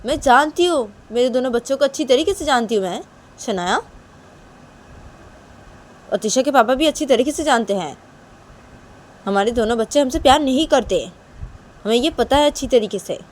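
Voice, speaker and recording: A woman as a high-voiced, reproachful, scolding mother. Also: expressive, moderate pace, pauses often. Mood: hurt, scolding. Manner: insistent, accusing, repetitive. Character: hurt, reproachful mother scolding her children. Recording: clear background noise.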